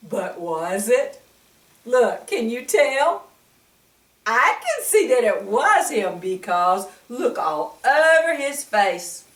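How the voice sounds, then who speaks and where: A woman's voice: a little high, very animated, slow pace, a bit bright. A woman reading a picture book aloud to young children, expressively, with exclamations and frequent questions to the listener. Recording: echoey room, distant microphone.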